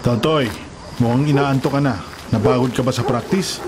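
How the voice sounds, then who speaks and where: A man's voice: medium pitch, normal speed, music in the background, lightly acted. Kindly, devout Filipino carpenter grandfather played by a man. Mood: gentle, earnest. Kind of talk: radio drama acting.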